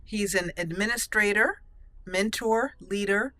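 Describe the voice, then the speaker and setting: A woman's voice: medium pitch, measured pace, bright, forceful tone, no room sound. A woman doing casual roleplay game commentary, chatty and thinking aloud as she plays. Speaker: let's play host.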